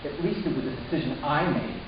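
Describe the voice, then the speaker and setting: A man playing a troubled, resentful human youth in a dramatic first-person story reading, halting and intense with deliberate pauses. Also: a little high, close to an everyday voice. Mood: defiant, tense. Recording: distant, very echoey room, muffled, hissy.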